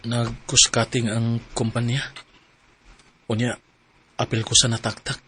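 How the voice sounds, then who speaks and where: A man's voice: mid-range voice, level tone, measured pace, sharp tone, slightly rough. An actor playing an earnest young man in a radio drama. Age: young adult. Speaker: boyfriend Rob.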